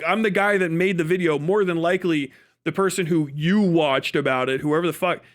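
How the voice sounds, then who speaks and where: High-pitched man ranting, profane and exasperated. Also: a bit bright, smooth. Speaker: livestream commentator reacting to clips. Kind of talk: casual unscripted political rant.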